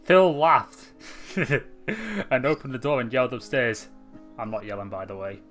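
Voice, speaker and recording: Young male reader, mid-pitched, offhand asides, casual fan fiction read. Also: unhurried, a bit bright, faint music behind. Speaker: story reader, channel host.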